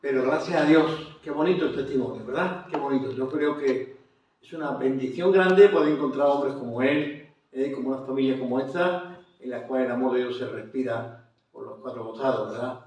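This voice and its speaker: A man's voice: mid-range voice, normal speed, darkish tone, very echoey, far from the mic, rough recording. A man with an Andalusian Spanish accent hosting an evangelical TV interview, chatty and affirming, with frequent amens. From Spain.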